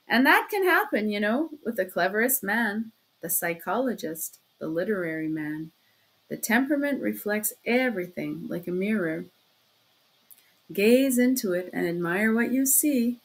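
A woman's voice: mid-range voice, expressive, moderate pace, close to an everyday voice, rough recording. Woman reading as a sly, fussing male investigator. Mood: sly, taunting. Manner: wheedling, chattering, repeats himself. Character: sly, fussing male police investigator, mocking then solicitous. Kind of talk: audiobook character acting.

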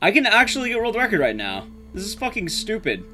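High-pitched, animated young male streamer. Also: fast, soft background music. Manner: sweary, self-deprecating. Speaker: streamer speedrunning a game. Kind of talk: casual live gameplay commentary. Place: small room at home, game sound behind.

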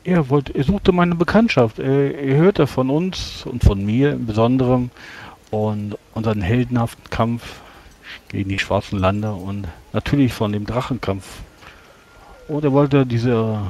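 A man roleplaying a courtly, self-important magister adventurer in a tabletop game, speaking formally and wordily with halting pauses. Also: mid-range voice, a little mellow. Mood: boastful. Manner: formal, verbose, halting delivery.

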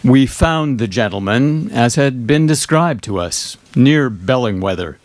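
Man acting slow, solemn old gentleman ghost. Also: mid-range voice, expressive, no room sound, lightly acted. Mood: solemn, measured. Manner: measured, solemn, deliberate. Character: elderly gentlemanly male ghost narrating solemnly. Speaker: actor. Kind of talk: dramatic literary stage reading. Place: indoor bookshop reading. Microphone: shared stand microphone.

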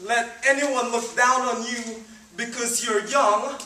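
A man's voice: extremely high pitch, fairly even intonation, takes it slowly, sharp tone, slight background noise. A man in his twenties from the Philippines giving a chatty, upbeat church talk, with many fillers. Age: 20s. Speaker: student ministry leader. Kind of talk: informal sermon talk. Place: church hall stage. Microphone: far camera, no mic seen. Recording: roomy hall sound from a distance.

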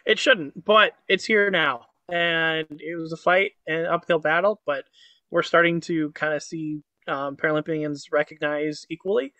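A man's voice: on the high side, fairly even intonation, measured pace, sharp tone, no echo. A man in his thirties, born in Croatia, talking as an interview guest on a video call, upbeat and fluent with frequent "um"s and "you know"s. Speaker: guest, motivational speaker. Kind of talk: casual interview chat. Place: indoor home office with virtual backdrop. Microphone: webcam on a video call.

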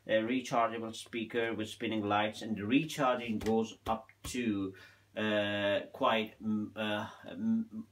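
Guy doing a home unboxing vid, slow and halting non-native english, some noise and clicking behind him. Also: fairly low pitch. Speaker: presenter of an unboxing video. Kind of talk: informal hands-on product demonstration. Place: small room at home, indoors. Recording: noticeable background noise, handling clicks.

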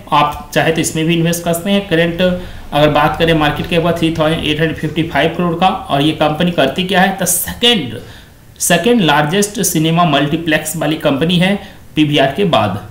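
A man's voice: a little high, moderate pace, fairly bright tone, echoey room. A man hosting a stock market video, talking through company figures on a shared screen in a conversational way that keeps repeating the same stock praise phrases.

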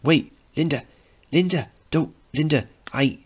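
A man's voice: fairly high pitch, measured pace, breathy edge, pitched above the performer's own voice. A man voicing an awkward, lovesick working-class teenage boy, blurting and self-doubting, in a dramatic play reading. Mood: flustered longing.

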